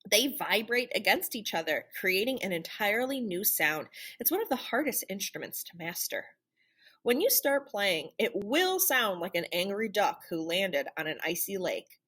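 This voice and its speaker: A woman reading a children's picture book aloud in an animated, theatrical storytelling manner. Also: mid-range voice, normal speed, breathy edge. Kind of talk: expressive children's read-aloud narration.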